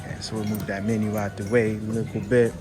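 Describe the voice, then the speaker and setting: A man's voice: fairly low pitch, flat delivery, quick pace, over music. A man hosting a casual interview over a meal, rambling with lots of "you know" and "like". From United States. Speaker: host.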